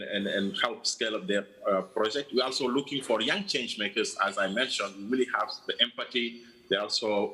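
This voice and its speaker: A man's voice: on the low side, sharp tone, rough recording. A man answering interview questions in an earnest, reflective way, often saying "you know.". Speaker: guest from a changemaker organisation.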